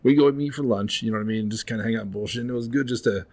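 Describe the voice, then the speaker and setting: A man's voice: mid-range voice, a little mellow. A man hosting a podcast, rambling in casual chat with lots of fillers. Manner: rapid, rambling, fills with "you know what I mean". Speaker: podcast host.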